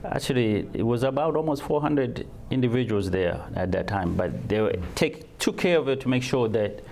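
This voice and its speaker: A man from Tanzania answering interview questions, halting and repeating words as he searches for phrasing. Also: medium pitch, expressive, gravelly, darkish tone, slight background noise. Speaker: guest.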